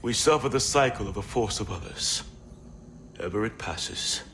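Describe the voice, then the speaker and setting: Solemn male pilgrim monk for a game: lowish voice, resigned, faint ambient noise. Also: lively intonation, moderate pace, a bit bright. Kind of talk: scripted video game dialogue.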